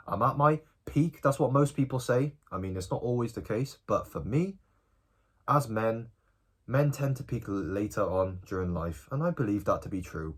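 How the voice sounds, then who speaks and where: Brief: male, 18, casual vlogger, lively intonation, slightly husky tone, informal and direct. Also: lowish voice. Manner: animated, informal, often tagging lines with "bro" and "okay?". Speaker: self-improvement vlogger. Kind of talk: casual direct-to-camera vlog.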